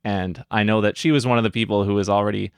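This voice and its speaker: A man's voice: fairly low pitch, steady tone, fast, vocal fry, smooth, no room sound, clean studio sound. A man from Canada hosting a podcast interview, reading a scripted introduction and then asking questions in a friendly, conversational way. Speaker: podcast host. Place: recording studio.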